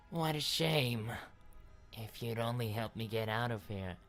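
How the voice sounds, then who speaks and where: A man voicing a captive princess from a video game, coldly taunting with mock sympathy and soft menace. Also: medium pitch, voice pushed up. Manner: slow, mock-sympathetic, teasing.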